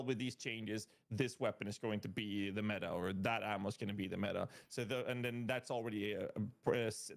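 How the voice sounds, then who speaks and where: A man chatting on a podcast, telling animated gaming stories and laughing often. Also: mid-range voice, creaky. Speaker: podcast guest. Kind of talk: casual podcast chat.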